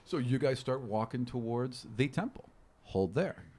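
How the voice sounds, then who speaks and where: Male game master, casual and improvised storytelling, lively swings in pitch, halting delivery. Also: breathy edge. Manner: casual, halting, animated storytelling with sound imitations. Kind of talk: improvised narration of a tabletop game.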